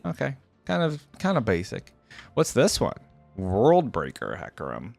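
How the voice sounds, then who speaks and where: Man with lively, swooping casual delivery. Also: medium pitch, unhurried, creaky, no echo, soft background music. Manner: informal, exclamatory, thinking aloud. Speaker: reaction video host. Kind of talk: casual off-the-cuff reaction commentary.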